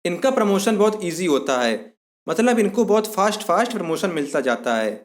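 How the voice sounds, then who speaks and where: A man's voice: high-pitched, sing-song, normal speed. A man giving an explanatory lesson as an exam-prep instructor, methodically restating points in simpler words.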